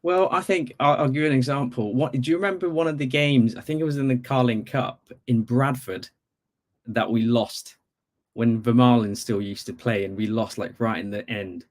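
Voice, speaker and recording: A man's voice: mid-range voice, moderate pace, darkish tone. A man from Bangladesh calling in to a podcast as a football fan, talking casually with many conversational asides. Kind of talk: casual sports chat.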